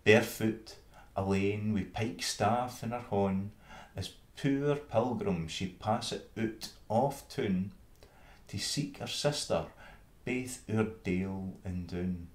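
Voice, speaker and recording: A Scottish man reading an old fable in verse with measured, dramatic storytelling. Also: on the low side. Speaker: reader. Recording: roomy, echoing space.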